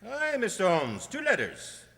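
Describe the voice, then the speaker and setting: A man's voice: high voice, huge pitch swings, measured pace, pushed and bright, full character voice. A man playing a cheerful, nosy, talkative village postman with a folksy, self-satisfied manner in a radio drama. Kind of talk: amateur radio drama acting. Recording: long hall-like reverb.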